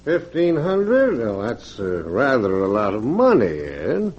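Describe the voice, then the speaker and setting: An evasive wealthy husky friend. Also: male voice, mid-range voice, huge pitch swings, slow pace, darkish tone, faint music behind, full character voice. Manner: hesitant, excuse-making. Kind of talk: 1940s radio drama acting. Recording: dull vintage radio recording.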